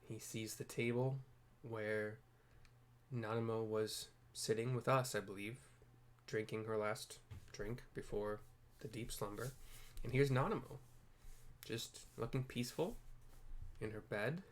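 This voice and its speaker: A man's voice: fairly low pitch, measured pace, long pauses. A man hosting a game playthrough with casual, improvised scene descriptions, rambling and self-deprecating as he searches for words. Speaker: host of a game playthrough video.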